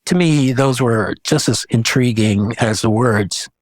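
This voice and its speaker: A man's voice: medium pitch, a little slow, no echo. Older man, dry close recording. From Guyana. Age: 70s. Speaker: guest, visual artist. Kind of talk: casual reflective interview talk.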